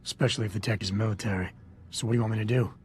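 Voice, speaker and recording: Man playing a grim augmented agent, low voice, curt, cold, blunt. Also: fairly even intonation, very fast, a little hiss or hum, natural voice. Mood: flat.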